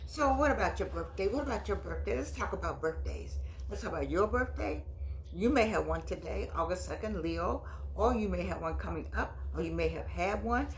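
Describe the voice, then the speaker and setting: A woman in her eighties hosting her own vlog, chatting and reminiscing in long, drawn-out phrases. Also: mid-range voice, animated, a little hiss or hum, poor audio. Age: 80s. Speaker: host. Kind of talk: casual vlog monologue.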